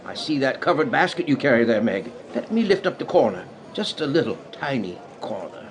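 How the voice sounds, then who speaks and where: A man's voice: mid-range voice, very animated, fairly quick, a little hiss or hum, close to an everyday voice. An actor playing a kindly, humble old working-class father, musing aloud in folksy speech in a radio drama. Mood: eager, musing. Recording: music behind the voice.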